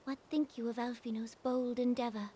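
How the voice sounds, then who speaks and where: High-voiced, regal troubled sovereign. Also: female voice, fairly even intonation, mellow, husky. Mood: wary, troubled. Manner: regal, formal, deliberate. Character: proud, troubled young female sovereign, wary and idealistic. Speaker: game character, royal ruler. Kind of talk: scripted game cutscene acting, formal archaic drama.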